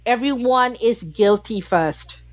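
A woman's voice: fairly high pitch, no room sound. Woman, from Malaysia, interview guest, passionate, lots of fillers, dry, slightly muffled. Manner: passionate, talks fast with many "like" fillers. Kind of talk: casual conversational interview.

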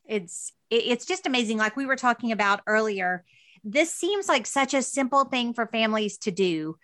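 Woman podcast host, a bit higher register, dry recording, earnest and enthusiastic. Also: lively intonation, moderate pace, a bit bright, dry recording. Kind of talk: warm interview podcast.

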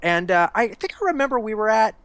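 A man in his forties tells stories in a loose, rambling podcast chat, correcting himself often. His voice sits a bit high for a man and jumps around a lot in pitch. The sound is dry and close, with little room around it. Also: quick pace, smooth, no room sound. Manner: rambling, animated storyteller with self-corrections. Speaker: guest, games journalist.

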